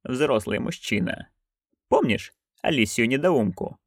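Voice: medium pitch, breathy edge, dry recording, clean studio sound. Reader playing a stern, curt old witch. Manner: curt, flat, slow and quiet.

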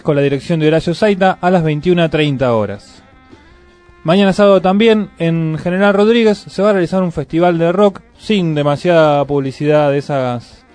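An Argentine man hosts a small community radio program, his voice sitting a bit high for a man. He reads gig listings and chats with his co-hosts in a loose, relaxed way. Faint music plays underneath. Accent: Argentine Spanish. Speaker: radio host. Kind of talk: casual community radio chat.

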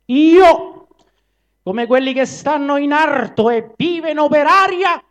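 A man doing a shrill proud eagle queen. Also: takes it slowly. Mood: haughty. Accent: Roman. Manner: loud and high, declaiming. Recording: dull live recording.

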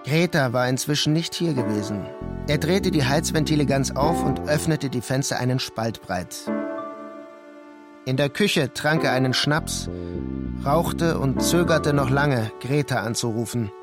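A man reading scripted literary narration for a radio play in a measured, deliberate way. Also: mid-range voice, animated. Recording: prominent music under the voice. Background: music.

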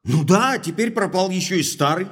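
A man's voice: high-pitched, normal speed. An actor playing a hot-tempered, indignant baronet in an audio drama, emphatic and agitated in his speech. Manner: agitated, emphatic, courteous when calming.

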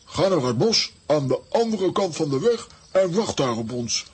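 A man playing a blustery, protective adventurer in a children's audio drama, husky, exclaiming and bossing people about. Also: a little high, sing-song, moderate pace, exaggerated voice. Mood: commanding. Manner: exclamatory, bossy.

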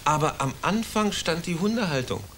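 An actor playing a sly investigator in a radio play, speaking in a smooth, ironic, leading way. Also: male voice, fairly high pitch, animated, normal speed, fairly bright tone, slight background noise. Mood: scheming. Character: sly, scheming male special-commission investigator.

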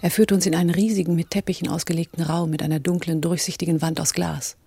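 An actor playing the observant, sober first-person narrator of a crime radio drama, in measured descriptive tones. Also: medium pitch, steady tone, gravelly, warm tone, slightly rough, no echo, studio quality.

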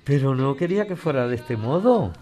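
A man's voice: mid-range voice, animated, a little fast, dark tone, faint music behind, close to an everyday voice. A man acting an older, old-fashioned, devoted suitor from in a podcast drama, earnest and a little hesitant. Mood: earnest, tender. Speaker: actor in an audio drama.

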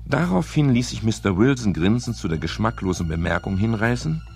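Hard-boiled detective narrator, low gravelly voice, dry irony, seventies radio crime drama, music underneath. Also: male voice, very animated. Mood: wry, measured. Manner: dry, ironic, deliberate storytelling. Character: wry, hard-boiled British private detective narrating his case. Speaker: first-person narrator.

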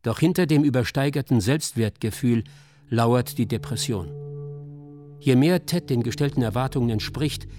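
A man reading scripted documentary narration in a sober, measured, articulate manner. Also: medium pitch.

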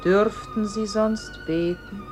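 An actress playing a solemn, gentle celestial voice that guides a soul, speaking in a measured, exalted, incantatory way in a radio play. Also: female voice, medium pitch, wildly swooping pitch, faint music behind. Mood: gentle, grave. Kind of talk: solemn classic German radio play acting.

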